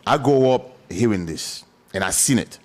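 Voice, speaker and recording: A man's voice: fairly low pitch, normal speed. A man from Haiti telling stories emphatically in a casual group discussion on a talk show. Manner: animated storytelling, emphatic repetition.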